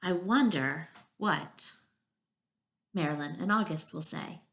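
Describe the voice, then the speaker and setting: A woman voicing an excitable, wonder-filled young female frog in a children's storybook, exclaiming with wide-eyed delivery. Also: lowish voice, slow pace. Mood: triumphant.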